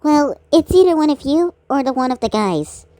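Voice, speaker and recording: A woman's voice: high voice, animated, moderate pace, warm tone, no echo. A woman doing amateur cartoon voice acting in an exaggerated, theatrical manner.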